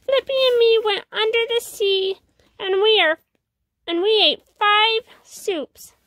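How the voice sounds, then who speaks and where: A woman's voice: very high voice, takes it slowly, a bit bright, no echo, pitched above the performer's own voice, heavily acted. A woman voicing a curious, kind little kitten child in a storybook, with an eager, childlike manner. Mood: eager, sweet.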